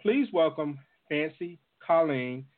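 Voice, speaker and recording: The audio is thin and phone-like. A male radio host speaks with an animated, swinging pitch as he puts questions to his guests. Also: takes it slowly, noisy background. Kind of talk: interview questioning.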